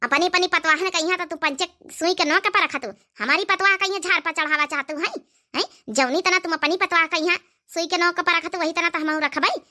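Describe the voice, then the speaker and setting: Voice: very high voice, steady tone, a little fast, bright, forceful tone, full character voice. A performer voicing a bossy, scolding older village mother-in-law in an Awadhi comedy cartoon, domineering and sarcastic. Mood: scornful, nagging. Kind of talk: rustic comedy cartoon dialogue.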